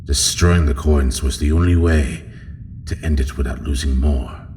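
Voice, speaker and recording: Deep, reverberant alien lord. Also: male voice, darkish tone, slight background noise. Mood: measured, disapproving. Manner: formal, measured. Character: proud, calculating alien noble, reluctant male subordinate of a warlord. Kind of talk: audio drama acting. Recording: long hall reverb, faint ambience.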